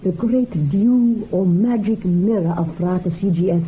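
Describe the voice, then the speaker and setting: A woman's voice: mid-range voice, measured pace, lightly acted. An actress playing a solemn, utterly convinced female mystic, speaking in grand, incantatory poetic phrases in a radio drama. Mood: rapt, reverent. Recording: tinny narrow-band radio sound, faint noise.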